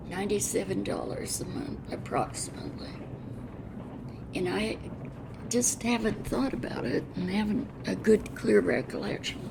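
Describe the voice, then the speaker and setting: An elderly American woman, past eighty, reminisces unscripted in a plain-spoken, halting, reflective way, pausing mid-sentence. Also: mid-range voice, gravelly, noisy background. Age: over 80. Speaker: interviewee, retired railroad telegrapher. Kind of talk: unscripted oral-history reminiscence.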